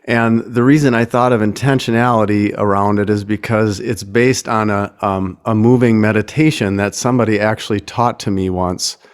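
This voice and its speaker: A man speaking as a guest songwriter, casually and reflectively introducing a song in a gentle, self-effacing, unhurried way. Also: lowish voice. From United States.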